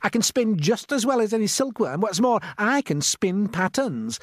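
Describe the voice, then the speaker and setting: Man voicing a high-pitched, proud lady spider. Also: sing-song, normal speed, dry recording, studio quality. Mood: boastful. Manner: emphatic, self-assured. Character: proud, boastful female spider.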